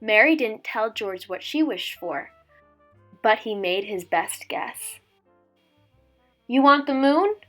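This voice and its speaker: A woman in her twenties with a fairly high voice talks as a teacher presenting a storybook for children. Music plays behind her as she shares the book. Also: animated, takes it slowly, long pauses, fairly bright tone. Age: 20s. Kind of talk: casual intro chat and storybook read-aloud.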